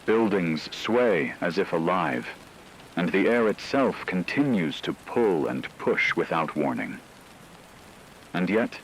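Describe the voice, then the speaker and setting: Male narrator for dramatic scripted story: deep, unhurried, grave and portentous, over faint ambient noise. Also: rough recording. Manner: grave, measured, portentous delivery with deliberate pauses.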